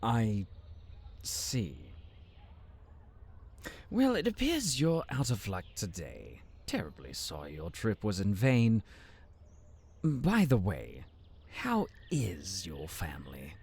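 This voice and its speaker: An actor playing a pompous, conniving town advisor in a fantasy audio drama, haughty and mock-polite in a drawn-out delivery. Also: male voice, fairly low pitch, huge pitch swings, a little mellow, husky, heavily acted. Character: pompous, conniving male town advisor, smug and easily enraged. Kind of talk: fantasy audio roleplay acting.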